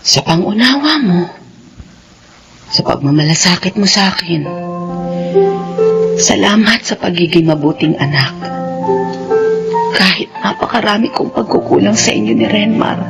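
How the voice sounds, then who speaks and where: A woman's voice: mid-range voice, animated, unhurried, creaky, pauses often. A woman playing a loving, self-sacrificing Filipino mother in an emotive, earnest radio drama. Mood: tender, reassuring. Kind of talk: Filipino radio drama acting, heartfelt narration. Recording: radio drama with loud music bed.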